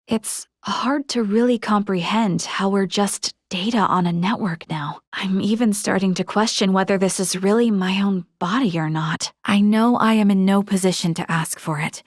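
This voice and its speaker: A woman's voice: mid-range voice, dry recording, studio quality, close to an everyday voice. A woman playing an earnest young female military officer in a space video game, speaking formally and articulately with resolve. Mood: troubled, pensive, then resolute. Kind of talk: scripted video game character dialogue.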